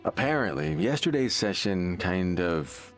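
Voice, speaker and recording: A man's voice: deep voice, sing-song, a little slow. A man playing an easygoing seaside villager in an anime video game, placid and a little theatrical. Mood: evasive. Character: easygoing male seaside villager in uneasy denial. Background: faint game music.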